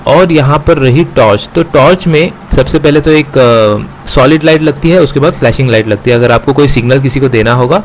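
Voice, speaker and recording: A man's voice: medium pitch, fairly quick, few pauses, slight background noise. A man with an Indian accent presenting an unboxing and review to viewers, explaining step by step and slipping into English phrases. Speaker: host of a gadget review channel. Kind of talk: unboxing and product review narration, addressing viewers.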